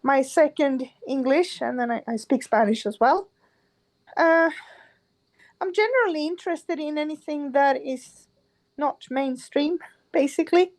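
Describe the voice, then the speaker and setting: Shy, slow woman. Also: high-pitched. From Sweden. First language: Swedish. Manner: shy, hesitant, searching for words. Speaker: guest panelist.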